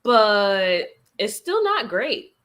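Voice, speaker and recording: A woman's voice: mid-range voice, sing-song, very slow, a bit bright. Woman just chatting books, super informal, slangy, bit sweary. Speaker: guest on a book reading livestream. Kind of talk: casual chat about books.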